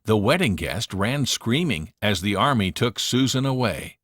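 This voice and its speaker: A man narrating a children's read-along storybook in an expressive, dramatic manner. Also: fairly low pitch, a little slow, no room sound, clean studio sound.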